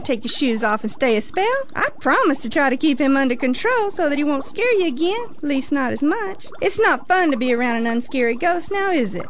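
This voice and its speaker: High, animated woman's ad voice. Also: a little hiss or hum. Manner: folksy, playful. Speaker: advertisement voice. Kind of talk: playful spooky ad read.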